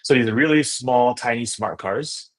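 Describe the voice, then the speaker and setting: A man's voice: mid-range voice, a little slow. A man from Indonesia, a native Indonesian speaker, telling his story enthusiastically as a guest in a casual interview, often checking in with "you know" and "right?". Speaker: podcast guest, startup founder and investor.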